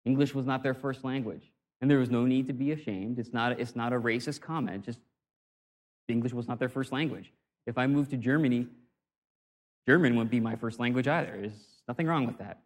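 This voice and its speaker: A man's voice: medium pitch, fairly quick. A man giving an informal talk on communication, conversational and self-deprecating, often restarting his phrases. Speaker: speaker on communication. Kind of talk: informal talk or sermon-like lecture.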